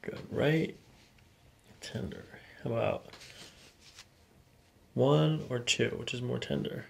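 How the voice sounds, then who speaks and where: Male chiropractor, thirties, creaky medium-pitched voice, calm clinical delivery, reassuring and matter-of-fact with a patient. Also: slow. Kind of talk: clinical exam talk, narrating findings to a patient. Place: small carpeted chiropractic office, indoors.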